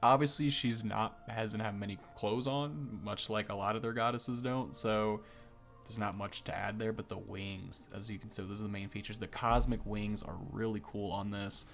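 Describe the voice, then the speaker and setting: A man's voice: on the low side, fairly even intonation, normal speed, vocal fry, fairly bright tone, faint music behind. A man hosting a gaming video, giving casual, easily impressed first-look commentary that rambles and corrects itself.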